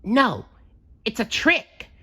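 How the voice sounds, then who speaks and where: A bright, high cartoon sea sponge acted out by a woman storyteller, naive and endlessly excitable. He speaks in big, swooping, dramatic exclamations, earnest about every wild idea. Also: takes it slowly, breathy edge, exaggerated voice. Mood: alarmed, dramatic.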